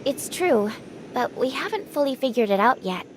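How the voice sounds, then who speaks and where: A woman voicing a gentle, self-assured young angel in an anime game, calm and reassuring. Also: high voice, normal speed, slight background noise.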